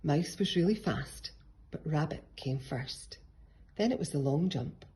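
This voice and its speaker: A woman's voice: sits low, animated, a little slow, darkish tone, slightly rough. A woman narrating a children's picture book as a storybook reader.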